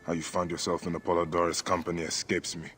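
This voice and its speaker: A man playing a stern, terse hero in a video game, husky, with a dry, understated delivery. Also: sits low, flat delivery, quick pace, fairly bright tone, soft background music. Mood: dry, insistent. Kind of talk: video game cutscene acting.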